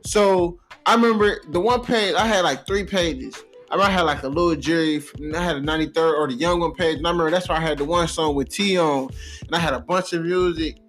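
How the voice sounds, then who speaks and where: An American man hosting a casual podcast, reminiscing in animated, slangy talk and swearing freely. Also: a little high, quick pace, soft background music. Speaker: podcast host.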